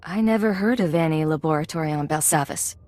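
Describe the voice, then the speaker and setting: A commanding female agent voiced by an actress with a fairly low voice. She is cool and controlled, handing out decisive orders as a crew leader in a video game. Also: fast talker, a little mellow, no echo, natural voice.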